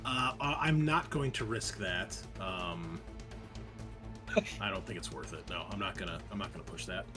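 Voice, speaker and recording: A man's voice: low-pitched, moderate pace. A man playing a tabletop game, chatting casually and thinking aloud in a hesitant way. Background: soft music.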